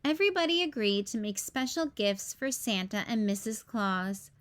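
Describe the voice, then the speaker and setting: A woman hosting a read-aloud, narrating a children's storybook in an expressive, careful storytelling style. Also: mid-range voice, moderate pace.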